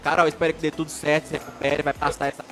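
A man's voice: fairly high pitch, flat delivery, very fast, a bit bright, faint music behind. A young man from Maranhão with a Maranhão accent hosting a live online show, chatting casually, reading viewer comments and often restarting his sentences. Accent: Maranhão Brazilian Portuguese. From Maranhão, Brazil. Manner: rambling, many restarts, chatty and upbeat. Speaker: live stream host.

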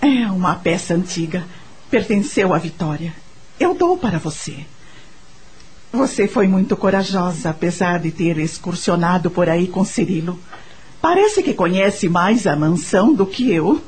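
A woman's voice: lowish voice, animated, measured pace, a little mellow, slight background noise. An actress with a Brazilian accent playing a gracious, generous lady of a mansion in a theatrical radio soap style. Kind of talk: radio soap opera acting.

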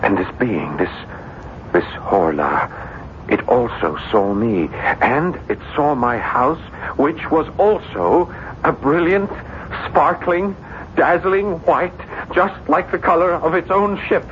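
An actor playing a tormented, obsessive French writer in a radio drama, speaking with intense, halting, brooding delivery. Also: male voice, fairly high pitch. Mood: brooding obsession. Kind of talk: 1970s radio drama acting. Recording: muffled, noisy vintage radio broadcast.

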